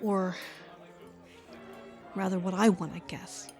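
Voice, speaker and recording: A woman's voice: on the low side, fairly even intonation, takes it slowly, darkish tone, soft background music. An actress playing an anxious young woman who mutters back at the voices in her head, in a radio play. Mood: weary. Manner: tense, weary, muttering to herself.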